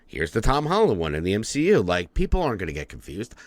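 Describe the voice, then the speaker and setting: Rambling, animated podcast guy. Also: on the low side, fast, no echo. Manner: rambling, swearing, lots of fillers and restarts. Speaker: podcast co-host. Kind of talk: casual movie chat.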